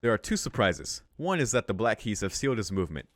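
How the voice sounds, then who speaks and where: A man reading a visual novel's story aloud for a let's play, breaking into casual, excitable reactions with some swearing. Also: lowish voice, fast, dry recording, clean studio sound. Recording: music behind the voice.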